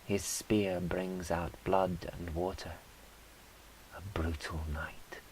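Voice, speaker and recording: A man reading poetry in a measured, deliberate, wry way, as a poet performing his own work. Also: low-pitched, level tone, darkish tone, low-quality recording. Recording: faint background hiss.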